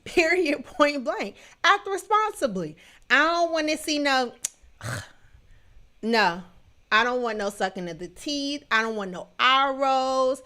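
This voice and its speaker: A woman in her thirties hosting a solo livestream, talking in a sassy, emphatic, playfully scolding way with a booming voice. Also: lively intonation, slow, bright, forceful tone. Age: 30s. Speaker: livestream show host.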